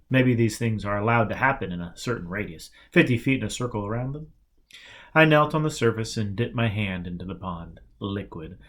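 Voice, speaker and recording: A man narrating a novel as an audiobook reader, acting out the dialogue within the story. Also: fairly low pitch.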